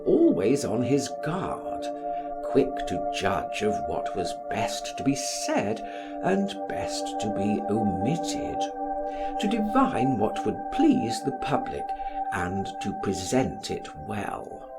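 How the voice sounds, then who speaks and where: Male audiobook reader, literary narration, slow measured pace, very wide expressive intonation, theatrical. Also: fairly low pitch. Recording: music behind the voice. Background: music.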